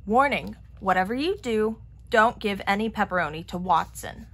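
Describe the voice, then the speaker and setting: A woman reading a children's picture book aloud in an expressive manner. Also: medium pitch, normal speed. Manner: expressive, acting out characters' lines. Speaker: storybook reader.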